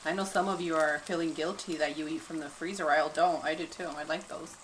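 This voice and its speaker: A woman in her thirties hosting a casual cooking demonstration in a bright home kitchen, chatty and upbeat, laughing often. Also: sits low, steady tone, fast, slight background noise, low-quality recording. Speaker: cooking show host.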